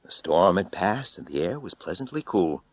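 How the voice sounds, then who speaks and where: Man, low-pitched, dry close sound, dramatic horror audiobook narration, intense, theatrical. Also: big pitch swings, moderate pace, no echo. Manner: theatrical, intense, swinging from hushed to loud. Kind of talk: dramatic first-person horror story narration.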